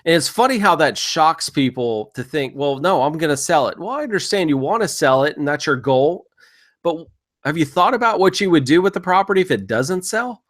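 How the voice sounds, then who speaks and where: A man's voice: a little high, expressive, brisk, fairly bright tone. A man giving an instructional sales training talk in a conversational, explanatory way, speaking straight to the listener with emphasis. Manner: conversational, explanatory, acts out short imagined seller exchanges. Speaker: real estate investing instructor.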